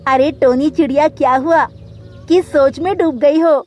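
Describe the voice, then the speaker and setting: A woman's voice: high-pitched, fairly even intonation, normal speed, a little mellow, dull audio, faint music behind, lightly acted. A woman voicing a practical, reassuring female sparrow friend in a cartoon story. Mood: consoling.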